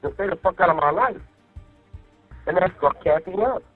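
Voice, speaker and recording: A man's voice: high-pitched, expressive, moderate pace, slightly rough. A man talking casually and profanely on a phone call, rambling and often repeating himself to check he is understood. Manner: rambling, repeats himself with "you know what I mean". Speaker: jail inmate on a phone call. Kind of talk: casual, profane venting to a partner. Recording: muffled jail phone line, faint music.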